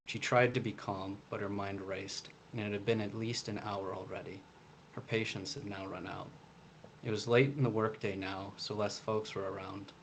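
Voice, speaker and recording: Male author, low monotone delivery, some steady background noise. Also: low-quality recording. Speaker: debut author reading from own novel. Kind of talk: reading a prose passage aloud, with some informal introduction.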